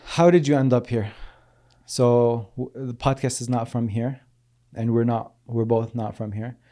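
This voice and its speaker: A man's voice: medium pitch, fairly even intonation, a little slow, mellow. A man hosting a podcast, asking questions in a casual, direct way. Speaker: podcast host. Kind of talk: interviewing.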